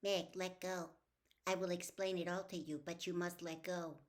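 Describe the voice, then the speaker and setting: A woman voicing a possessed small boy in an audiobook, speaking in a calm, measured, persuasive and sinister way. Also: fairly low pitch, natural voice. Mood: eerily calm, coaxing. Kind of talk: audiobook character voice.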